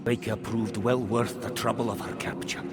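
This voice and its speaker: An actor playing a sinister, cryptic mystic mentor in a video game, with grandiose, deliberate phrasing. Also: male voice, on the low side, steady tone, loud music behind the voice, lightly acted. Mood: brooding, reverent. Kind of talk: dramatic video game cutscene acting.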